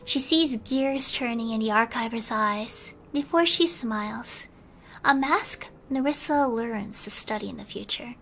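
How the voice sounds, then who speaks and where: A woman streamer reading a bedtime story in a soft ASMR style, at times slipping into a whisper. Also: on the high side, measured pace, vocal fry, a little hiss or hum, low-quality recording. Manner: soft-spoken, sometimes whispering.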